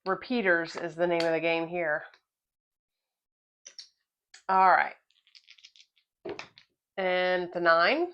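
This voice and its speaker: A woman's voice: lowish voice, slow, long pauses, a bit bright, dull audio, slight background noise. A woman hosting a craps channel, calling each roll and explaining a betting strategy, her voice a little off from a cold. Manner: casual, explanatory, calls each dice roll. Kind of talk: play-by-play commentary while testing a betting strategy.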